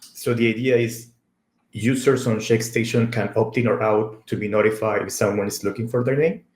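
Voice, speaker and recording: The sound is noticeably echoey. A man from Argentina whose first language is Spanish speaks in a fairly low voice, presenting informally about tech. He is conversational and a little halting, repeating words as he goes. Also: fairly even intonation, darkish tone. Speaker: conference speaker.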